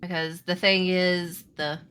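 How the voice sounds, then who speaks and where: A woman running a tabletop roleplaying game, narrating in a halting, improvised way. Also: lowish voice, little pitch movement, a bit bright, clear, resonant tone. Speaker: game master.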